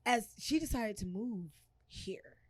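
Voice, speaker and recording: Blunt woman, mid-pitched, dry sound. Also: expressive, takes it slowly, breathy edge, no room sound. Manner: blunt, frank storyteller. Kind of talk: casual chat.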